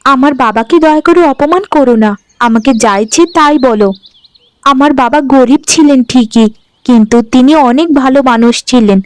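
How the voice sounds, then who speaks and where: A woman voicing a gentle, dutiful young bride in a fairy-tale cartoon, speaking meekly and politely. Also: sits high, moderate pace, smooth, dry recording, natural voice. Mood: meek, pleading. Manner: meek, polite, pleading. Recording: background music under the voice.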